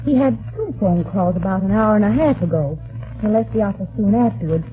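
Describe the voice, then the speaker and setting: An actress playing a polite, timid secretary, deferential and hesitant, in an old-time radio drama. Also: female voice, mid-range voice, expressive, fairly quick, faint music behind, lightly acted. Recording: tinny narrow-band vintage radio recording.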